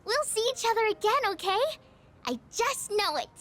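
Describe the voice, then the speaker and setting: A woman's voice: very high-pitched, expressive, normal speed, fairly bright tone, faint music behind. A woman voicing a sweet little girl in a game, playful and coaxing. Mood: playful, tender. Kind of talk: game voice acting.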